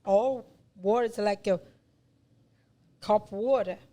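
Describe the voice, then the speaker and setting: An older woman with a Sicilian accent, a guest in a casual chat, speaking halting English in a plain, earnest way. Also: medium pitch, mellow. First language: Sicilian dialect. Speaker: guest, business owner.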